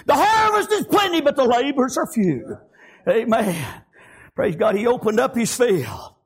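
High-voiced preacher, shouting fervently. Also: male voice, big pitch swings, moderate pace, slightly rough. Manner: fervent, shouting, with constant "praise God" and "amen". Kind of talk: revival sermon.

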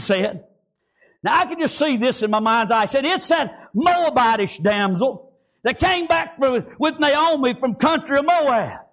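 Male preacher, very high voice, fervent shouting, constant amens. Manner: fervent, shouting, with constant "praise God" and "amen". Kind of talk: revival sermon.

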